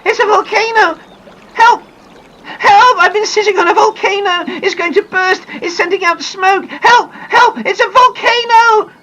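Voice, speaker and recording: A woman voicing a frightened, excitable young girl who cries out in shrill, breathless panic and pleads for help, with soft music behind. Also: unhurried, long pauses, poor audio. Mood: panicked.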